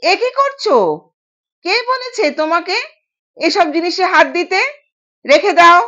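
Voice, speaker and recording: Woman acting a high-voiced, bossy older mother-in-law. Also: lively intonation, a little slow, bright, forceful tone, full character voice. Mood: stern. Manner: commanding, curt. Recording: dull, lo-fi sound.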